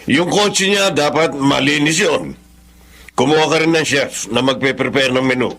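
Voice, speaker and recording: Actor as a commanding older patriarch, mid-pitched. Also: male voice, moderate pace, fairly bright tone, natural voice. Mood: stern, bossy. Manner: commanding, clipped, formal. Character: stern, domineering wealthy older father. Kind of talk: Filipino melodrama acting.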